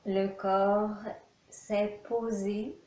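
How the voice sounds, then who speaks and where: Very slow, calm woman guiding relaxation. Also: mid-range voice, roomy sound, poor audio. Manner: slow, soft, calm instructions with long pauses. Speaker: yoga nidra teacher. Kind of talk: guided relaxation.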